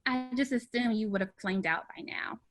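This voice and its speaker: A woman's voice: mid-range voice, moderate pace, close to an everyday voice. Woman reading a tired stern restaurant boss, clipped lines, roomy echo, noisy background. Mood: curt. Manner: curt, stern. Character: weary woman restaurant manager in her fifties, stern. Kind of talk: table read of a TV pilot.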